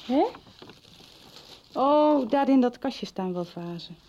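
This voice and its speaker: A woman's voice: sits high, expressive, slow pace, warm tone, lo-fi sound, heavily acted. An actress playing a kind, chatty, motherly woman in a radio drama. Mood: curious. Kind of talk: 1990s radio drama acting.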